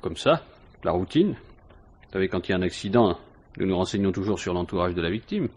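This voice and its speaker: An actor playing a dry, methodical police inspector questioning someone in a 1950s French radio mystery. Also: male voice, sits low, animated, a little fast, darkish tone, slightly rough. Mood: probing, dry. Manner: matter-of-fact, questioning.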